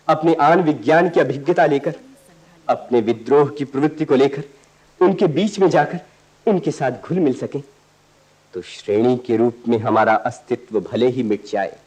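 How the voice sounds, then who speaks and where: A man's voice: medium pitch, darkish tone, natural voice, low-quality recording. A man playing an earnest, resolute idealist lover in a radio play, with solemn, poetic, declamatory delivery. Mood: impassioned. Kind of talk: stage-like radio play acting.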